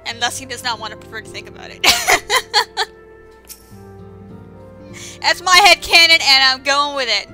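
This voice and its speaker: A woman's voice: high voice, very animated, a little slow, long pauses, sharp tone, soft background music. A woman giving casual, sarcastic and exclamatory commentary over a video game, speaking informally with some swearing. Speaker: gameplay commentator.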